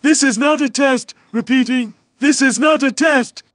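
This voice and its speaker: A man's voice: very high-pitched, unhurried, fairly bright tone, no room sound, clean studio sound. A man playing an earnest villager news anchor, reading a formal scripted bulletin. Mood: formal, serious.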